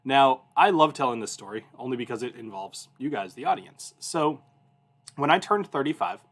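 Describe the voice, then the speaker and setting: A man's voice: mid-range voice, lively intonation, moderate pace, a bit bright. Casual, rambling man in his thirties. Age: 30s. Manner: informal, rambling, self-interrupting. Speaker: whiskey review show host. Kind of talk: casual chat and anecdotes to a livestream audience.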